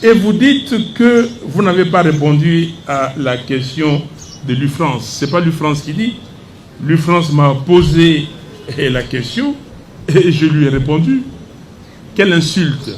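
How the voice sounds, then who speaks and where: Indignant older man, high-pitched. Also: animated, slow, a little hiss or hum. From Democratic Republic of the Congo. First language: Lingala. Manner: indignant, emphatic, switches between French and Lingala. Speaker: opposition politician at press conference. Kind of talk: heated press conference answer.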